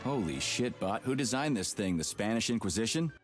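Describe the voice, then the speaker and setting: A man voicing a gruff, sarcastic, impatient Soviet major in a video game, blunt and foul-mouthed. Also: fairly low pitch, very animated, fairly quick. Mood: sardonic, impatient. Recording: music under the voice.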